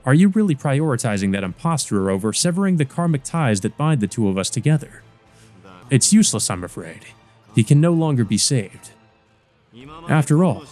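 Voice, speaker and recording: A man's voice: mid-range voice, darkish tone, lightly acted. A man voicing a smug, gloating vampire villain. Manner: smug, mocking, theatrical. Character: gloating, sneering centuries-old male vampire villain. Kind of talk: visual novel character read-aloud.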